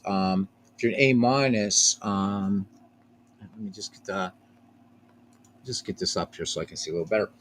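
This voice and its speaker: A man hosting a casual live stream of a baseball game, rambling, repeating himself and chatting with viewers by name. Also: mid-range voice.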